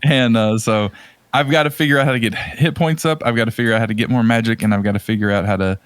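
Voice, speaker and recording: A man co-hosting a podcast, chatting casually about video games in a halting, rambling way. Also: mid-range voice, fairly even intonation, slight background noise. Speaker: podcast co-host.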